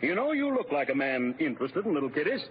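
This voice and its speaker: A man's voice: a little high, very animated, fast. An actor playing a wheedling, crooked businessman in a 1940s radio comedy, talking glibly with false heartiness. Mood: hearty, flattering. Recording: muffled, noisy old radio broadcast.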